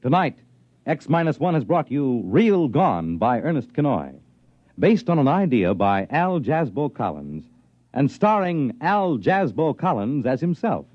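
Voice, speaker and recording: A man announcing the credits of a 1950s radio show in a formal, measured way. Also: medium pitch, big pitch swings, no room sound. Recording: dull old radio broadcast with faint music.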